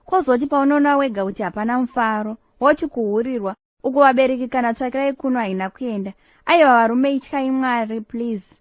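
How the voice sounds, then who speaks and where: Outspoken cartoon woman, played by a woman: very quick talker, Shona mixed with English, dry recording. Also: fairly high pitch, no echo, lightly acted. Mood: scolding.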